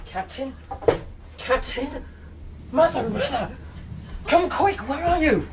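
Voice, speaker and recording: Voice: high-pitched, expressive, takes it slowly. An actor playing a brave, eager boy at a seaside inn in a radio drama. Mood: panicked. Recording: noisy radio drama with clicks.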